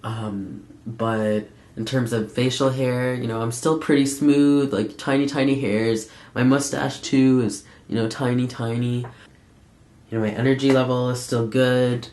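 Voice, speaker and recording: A young man giving a casual, candid personal update in a conversational, halting way with frequent pauses. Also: medium pitch, fairly even intonation, a little mellow. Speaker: vlogger. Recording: echoey room sound.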